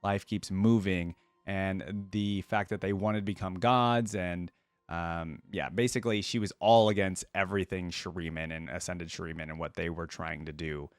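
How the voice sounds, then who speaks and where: A man's voice: sits low, fairly even intonation, moderate pace, no echo. A man giving casual, musing commentary as a reaction video host. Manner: informal, musing, conversational.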